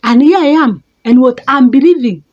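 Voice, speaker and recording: A middle-aged Kenyan woman with a Kenyan accent, giving an emphatic motivational talk as a life coach, repeating words and leaping up in pitch for stress. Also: lively intonation, moderate pace, a little mellow, dull audio. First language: a Kenyan mother tongue.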